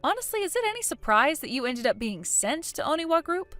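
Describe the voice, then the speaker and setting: A woman's voice: high-pitched, sing-song, brisk, fairly bright tone, no room sound, faint music behind, voice pushed up. A woman voicing a blunt, judgmental, scornful woman in story dialogue, smug and needling in a matter-of-fact way. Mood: accusing.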